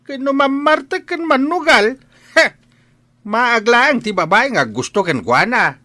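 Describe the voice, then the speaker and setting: A man's voice: very high-pitched, animated, a little slow, bright, forceful tone, dry recording, full character voice. A man playing a stern, scolding father of a grown son, lecturing in a radio drama. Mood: scornful. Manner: admonishing, lecturing.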